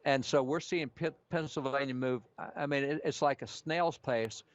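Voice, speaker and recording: A man's voice: medium pitch, moderate pace, no echo. Muffled, dry-sounding man giving election analysis. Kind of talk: live data commentary.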